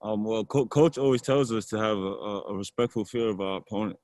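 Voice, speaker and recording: Low-voiced young man, casual answers. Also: brisk, dry recording, clean studio sound. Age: young adult. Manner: relaxed, repeats words while thinking. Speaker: college basketball player.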